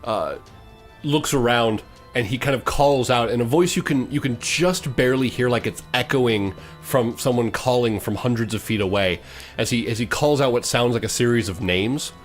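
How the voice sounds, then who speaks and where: A man narrating a tabletop game as game master, improvising in a halting way. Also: medium pitch, soft background music.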